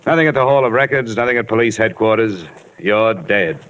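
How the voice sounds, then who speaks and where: A man's voice: on the low side, expressive, a little fast, fairly bright tone, highs cut off. A man with an American accent acting a hard-boiled, weary insurance investigator in an old radio detective drama, clipped and wry. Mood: frustrated, grim. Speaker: lead actor and narrator. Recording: noisy old radio broadcast recording.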